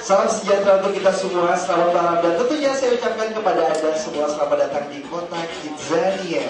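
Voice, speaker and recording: A man's voice: high voice, quick pace. A man hosting an opening ceremony in a theater hall, upbeat and joking with the crowd. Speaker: event emcee. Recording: echoey hall, distant mic, music. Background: music playing.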